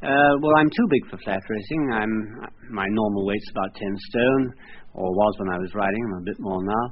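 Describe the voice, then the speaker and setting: A man from Wales, a jockey, answering questions in an archive radio interview in a modest, polite, unhurried way. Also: lowish voice. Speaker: jockey guest. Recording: dull old radio recording with faint hiss.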